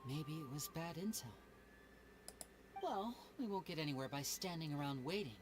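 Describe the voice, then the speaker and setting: An actor voicing a charming young male stage magician in a video game, smooth, teasing and confident. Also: mid-range voice, expressive, normal speed, noisy background, natural voice. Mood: playful. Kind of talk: game voice acting.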